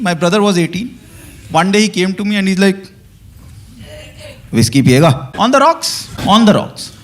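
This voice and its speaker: A man with an Indian accent doing stand-up comedy as conversational storytelling, pausing before punchlines. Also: high voice, a little hiss or hum.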